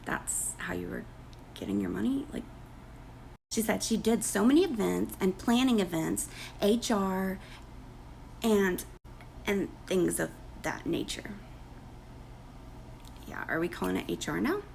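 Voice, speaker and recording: A woman's voice: mid-range voice, a little slow, pauses often, darkish tone, slight background noise, poor audio. A woman losing her voice, reading posts aloud in a casual gossip commentary with sarcastic, mocking asides. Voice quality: creaky, gravelly. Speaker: commentary creator.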